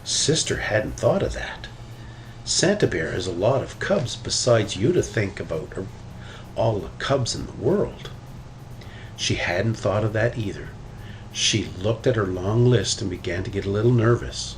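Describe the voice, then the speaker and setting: Guy with a lowish voice reading a kids book out loud, really animated, some background noise. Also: measured pace, rough recording. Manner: expressive, animated read-aloud delivery. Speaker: storybook reader. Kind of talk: reading a children's picture book aloud.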